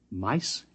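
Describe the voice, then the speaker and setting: A man playing a formal, incredulous Pentagon chief in a 1950s radio drama, speaking in a deliberate official manner. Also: on the low side, darkish tone, slightly rough, heavily acted. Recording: muffled 1950s radio broadcast.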